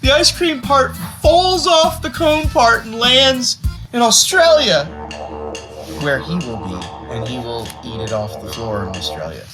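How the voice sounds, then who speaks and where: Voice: medium pitch, sing-song, over music, pitched above the performer's own voice. A storyteller voicing an aggrieved young boy in a picture book, complaining dramatically. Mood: sulky, vengeful. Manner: whiny, dramatic complaining with drawn-out emphasis. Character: grumpy, aggrieved young boy narrating his bad day.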